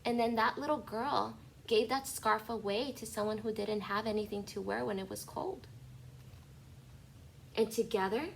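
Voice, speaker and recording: A woman kindergarten teacher reading a picture book aloud to young children, expressive and instructive, speaking directly to her listeners on an online class call. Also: a little high, normal speed, gravelly, slight background noise, rough recording.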